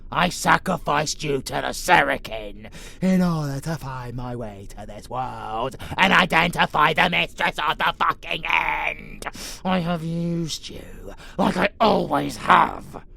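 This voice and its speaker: A man playing a menacing vampire abomination in a monster audio roleplay, theatrical and taunting, with a gravelly growl. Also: fairly high pitch, slow pace, full character voice. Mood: gloating, proud. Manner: theatrical, taunting, foul-mouthed, swinging from whispers to shouts. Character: menacing vampire abomination, theatrical, sadistic, grudgingly fond predator. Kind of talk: monster audio roleplay, dramatic villain monologue.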